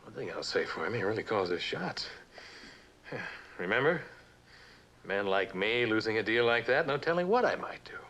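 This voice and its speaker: An actor playing a sardonic, world-weary businessman in a mid-century suspense drama, with a wry, halting delivery. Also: on the low side, very animated, fairly bright tone. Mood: wry, bitter. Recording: noisy old television drama soundtrack.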